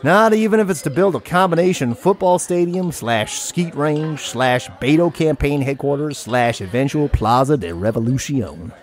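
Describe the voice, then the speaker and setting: A man plays a folksy Texan agent with a slow, homespun drawl. His voice sits fairly high for a man, with faint music underneath. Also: expressive, close to an everyday voice. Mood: earnest.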